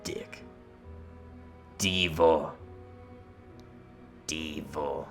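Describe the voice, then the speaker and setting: A man's voice: very deep voice, fairly even intonation, extremely slow, a bit bright, soft background music. A man streaming a visual novel, reading the narration aloud with informal, casual asides.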